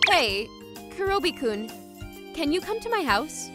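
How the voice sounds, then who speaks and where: High, girlish young student voiced by a woman. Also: very animated, measured pace. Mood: polite, grateful. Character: frugal, frank, studious young female medical student. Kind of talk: manga dub dialogue. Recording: music behind the voice. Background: background music.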